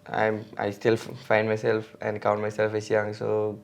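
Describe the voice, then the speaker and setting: A man's voice: fairly low pitch, monotone, normal speed, vocal fry. A man, a guest on a podcast, talking casually and hesitantly with many fillers and restarts. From India. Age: 20s. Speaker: podcast guest, mountain bike racer.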